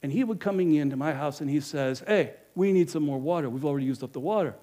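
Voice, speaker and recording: A man's voice: on the high side, fast talker, close to an everyday voice. A man playing a boastful money-minded innkeeper. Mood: storytelling. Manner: animated, emphatic, swings loud to hushed. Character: middle-aged male innkeeper, money-minded and guilt-ridden. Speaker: actor. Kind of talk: dramatic first-person monologue.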